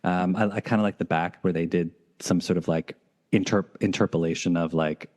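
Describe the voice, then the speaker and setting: A man's voice: low-pitched, steady tone, brisk, darkish tone. A man hosting a podcast, chatting casually. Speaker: podcast host. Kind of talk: casual chat.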